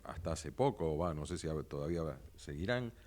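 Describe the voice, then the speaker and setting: A man with a low-pitched voice hosts a casual interview. He asks questions and adds comments, halting and doubling words as he works out his thought. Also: animated, a little mellow. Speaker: interview show host.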